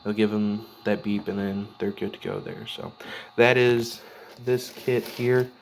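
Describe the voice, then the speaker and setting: A man giving a casual, unscripted walkthrough of his hobby gear, speaking plainly and haltingly with pauses mid-sentence. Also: lowish voice, fairly even intonation, slight background noise, low-quality recording. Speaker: radio hobbyist presenting own gear.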